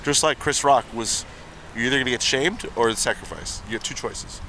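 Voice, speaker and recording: A man riffs and goads his co-host in loose outdoor banter. His voice is bright but worn from a long day of talking. Faint city noise sits behind him. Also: medium pitch, normal speed, low-quality recording. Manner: provocative, teasing, rambling. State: losing his voice. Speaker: podcast co-host. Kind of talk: joking banter.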